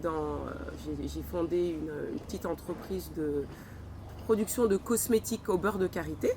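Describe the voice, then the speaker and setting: A woman in her forties from France, a podcast guest who talks in a measured, thoughtful way in casual conversation. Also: on the low side. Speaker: guest, environmental engineer. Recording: faint background noise.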